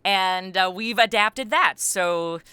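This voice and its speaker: A middle-aged woman with a bright, exceptionally clear voice at an ordinary pitch. She chats casually as an interview guest, and the sound is close and dry. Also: takes it slowly, dry recording. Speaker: comedy writer, podcast guest. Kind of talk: casual promotional interview chat.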